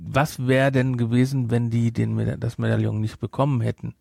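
A man's voice: medium pitch, level tone, brisk, vocal fry, darkish tone, no room sound, clean studio sound. Sarcastic man chatting on a podcast. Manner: sarcastic, incredulous asides. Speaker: podcast host. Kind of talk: casual film discussion chat.

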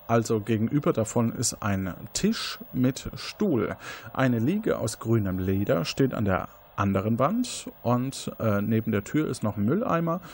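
A man's voice: lowish voice, darkish tone, slightly rough. A man, improvising scene descriptions as game master of an interactive mystery game, often correcting himself.

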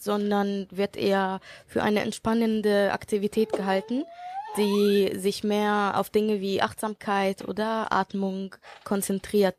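A woman's voice: medium pitch, a little mellow. A woman hosting a radio interview, asking friendly questions and giving short attentive affirmations.

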